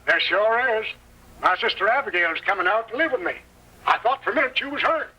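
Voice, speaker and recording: A high, bright voice of an old Western hermit. He is excitable and friendly, full of folksy frontier exclamations, and swings widely in pitch. It comes from a vintage radio drama. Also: fairly quick, breathy edge, heavily acted. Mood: happy, excited. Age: older. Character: excitable, friendly old male hermit living alone in the West. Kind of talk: 1930s-40s Western radio acting. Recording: faintly noisy old-time radio recording.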